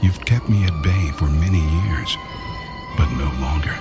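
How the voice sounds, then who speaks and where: An actor in a radio drama playing a sinister, smooth-talking stalker with a courtly, menacing and deliberate manner. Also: male voice, low-pitched, music in the background. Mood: quietly menacing.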